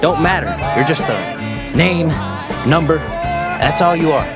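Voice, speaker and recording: A man's voice: a little high, lively intonation, a little slow. A man presenting an informal explainer, speaking emphatically in repeated short phrases. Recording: loud music over the voice.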